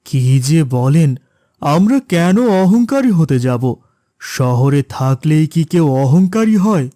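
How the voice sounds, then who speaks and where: A man voicing a gentle, patient father in a cartoon, speaking in a reassuring way. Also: on the high side, big pitch swings, slow, no room sound, studio quality. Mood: polite, explaining. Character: gentle, reassuring middle-aged village-born father. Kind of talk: cartoon character dialogue.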